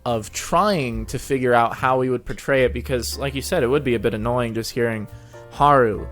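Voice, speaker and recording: A male host chats casually with a guest in a voice of ordinary pitch. His delivery is informal and agreeable, and music plays behind him. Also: moderate pace, a bit bright. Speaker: show host and interviewer. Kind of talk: casual interview chat. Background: background music.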